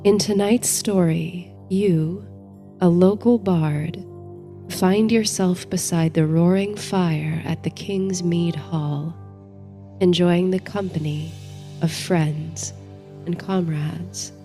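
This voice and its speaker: A woman narrating a bedtime story and guided meditation in a soothing, measured, dreamy manner, as the host. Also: fairly low pitch, steady tone. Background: soft music.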